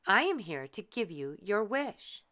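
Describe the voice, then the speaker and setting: A woman's voice: mid-range voice, sing-song, measured pace, bright, forceful tone. A woman voicing a kindly, bustling little fairy godmother from a storybook, exclaiming and coaxing sweetly. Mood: gentle.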